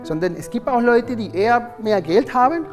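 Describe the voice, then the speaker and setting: Mann mit spanischem Akzent, zögerlich erklärend. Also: male voice, very high voice, expressive, normal speed, long reverb tail, soft background music. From Venezuela. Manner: friendly, hesitant, many ähm fillers. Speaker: orchestral oboist, guest. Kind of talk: casual explaining. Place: stage set, indoors.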